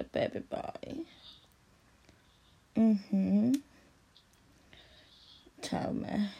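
A woman in intimate audio roleplay, speaking softly and coaxingly and often dropping into a whisper. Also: a little high, gravelly, breathy edge. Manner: soft, slow and coaxing, often drops to a whisper.

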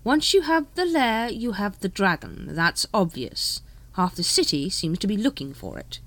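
An irritable, calculating lord of the city acted out by a woman reader speaks in a drawn-out, deliberate way. The audiobook voice swings widely in pitch over a dry, close recording. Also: very animated, a bit bright, dry recording. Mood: sour, insistent. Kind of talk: audiobook character voice.

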